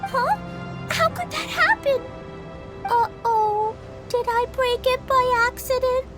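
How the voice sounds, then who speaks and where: A woman voicing an excitable, cheerful little girl in a video game, eager and childlike. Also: very high voice. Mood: excited. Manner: eager, childlike, quick to protest innocence. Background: game music.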